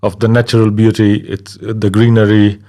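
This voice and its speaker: Guest: relaxed man from Kenya, 30s, lowish voice, easygoing pauses. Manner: relaxed, hesitant with many fillers, laughs easily. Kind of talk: casual interview conversation.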